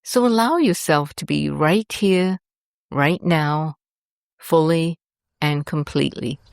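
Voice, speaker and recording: Slow, low-pitched woman guiding meditation. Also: vocal fry, a little mellow, clear, resonant tone, no echo, studio quality. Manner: soothing, deliberate, with long pauses between short phrases. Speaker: host and meditation guide. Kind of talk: scripted guided meditation narration.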